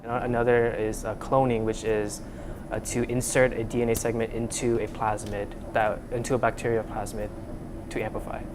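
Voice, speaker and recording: A man's voice: on the low side, flat delivery, fairly quick, noisy background. Young man from Vietnam in a humming lab. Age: young adult. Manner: many fillers and restarts, earnest. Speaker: student researcher. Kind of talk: casual interview. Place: lab room.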